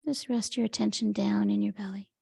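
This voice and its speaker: A woman leading a guided meditation in a gentle, unhurried, soothing manner, with a soft, breathy voice. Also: mid-range voice, steady tone, dry recording. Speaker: meditation teacher. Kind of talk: guided meditation, Yoga Nidra.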